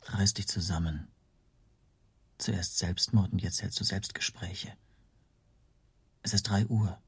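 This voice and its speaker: An actor playing an anxious, self-doubting man who narrates his own story in a breathy, brooding hush. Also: sits low, steady tone, slow pace, no echo, heavily acted. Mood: urgent self-urging. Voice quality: husky, breathy, often near whisper. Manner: brooding, introspective, hushed. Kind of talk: first-person radio play narration.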